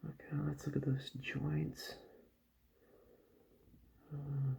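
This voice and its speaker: Soft-spoken, creaky-voiced ASMR man, half whispering. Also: on the high side, completely flat delivery, slight background noise. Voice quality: soft, breathy, dropping into whispers. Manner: gentle, slow, murmured reassurance to the listener. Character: calm, polite beaked bird doctor who mends robots. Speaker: ASMR performer role-playing a doctor who repairs robots.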